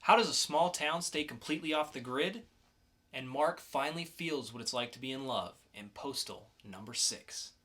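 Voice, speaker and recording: Bright-voiced man co-hosting a podcast. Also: medium pitch, lively intonation, normal speed. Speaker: podcast co-host. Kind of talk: casual comic book review.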